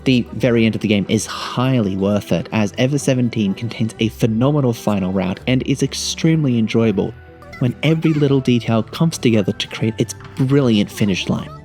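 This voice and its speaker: A man's voice: medium pitch, a little mellow. A man reading a scripted video game review as narration.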